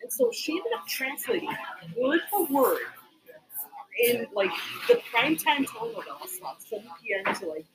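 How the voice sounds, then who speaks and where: A woman from Chicago hosting a casual podcast chat, upbeat and quick to laugh. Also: high voice, big pitch swings, crowd noise, low-quality recording. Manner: laughs often, chatty and upbeat. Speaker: podcast host.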